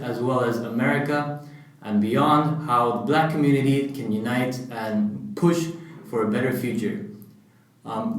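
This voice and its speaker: A man hosting a relaxed, conversational discussion with student guests. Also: mid-range voice. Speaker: host. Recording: echoey room, distant mic.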